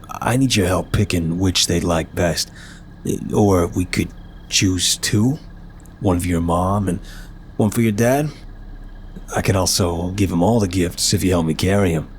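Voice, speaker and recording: A man's voice: low-pitched, a little hiss or hum, close to an everyday voice. A man playing an apologetic, nervous but charming mafia boss in an ASMR roleplay, chatty, wry and flirtatious.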